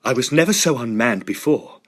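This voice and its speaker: A man's voice: mid-range voice, expressive, normal speed, a bit bright. An actor playing an intense, shaken young Victorian man in a radio drama, speaking with urgent, dramatic emphasis. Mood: frightened, shaken.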